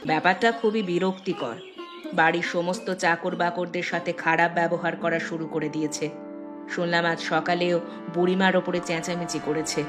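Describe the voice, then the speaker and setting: A woman voicing a middle-aged mother-in-law, matriarch of a landlord household, in an animated moral story. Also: lowish voice, a little fast, over music, lightly acted. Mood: concerned, displeased.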